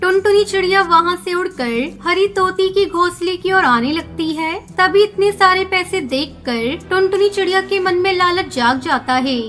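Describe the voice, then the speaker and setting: A woman's voice: extremely high pitch, moderate pace, hardly any pauses, a bit bright. A woman narrating a children's cartoon story in a scripted storytelling style.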